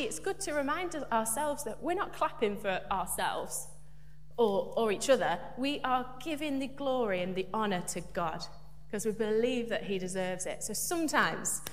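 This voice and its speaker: A woman preaching a light, humorous sermon in church, chatty and self-deprecating. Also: a little high. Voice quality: big loud voice. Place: church hall. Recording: echoey church hall, faint hum.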